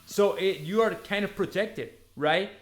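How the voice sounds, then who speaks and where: A man's voice: high-pitched, measured pace. A man talks casually to his audience as a football host. His delivery is conversational and emphatic, with repeated phrases and checking tags at the ends of thoughts. Light music plays underneath. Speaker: football vlogger and fan channel host. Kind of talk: casual news commentary to viewers.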